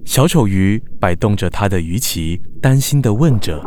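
A man narrating a children's radio drama in measured, expressive storytelling. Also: on the low side, a little hiss or hum, close to an everyday voice. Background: background music.

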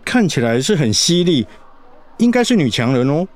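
Lively, higher-voiced husband. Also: male voice, normal speed, dry recording. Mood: easygoing. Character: caring middle-aged husband, fretful but doting father. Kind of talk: radio drama acting.

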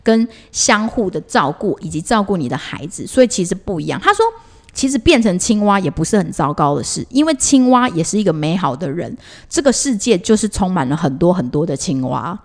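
A woman's voice: fairly low pitch, big pitch swings, fairly quick. A woman with a Taiwanese Mandarin accent in a casual podcast chat, telling stories expressively as co-host.

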